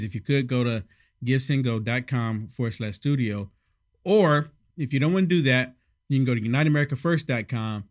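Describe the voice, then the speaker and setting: A man hosting casual political commentary, sarcastic and emphatic, a little hoarse from a head cold. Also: medium pitch.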